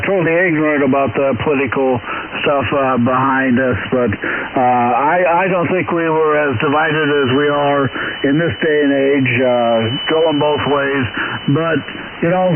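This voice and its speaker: Hissy radio voice, halting mature man. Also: a little high. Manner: hesitant, halting delivery. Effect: radio transmission. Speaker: amateur radio operator calling in. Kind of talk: casual chat. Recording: hissy, dull, noisy ham radio link.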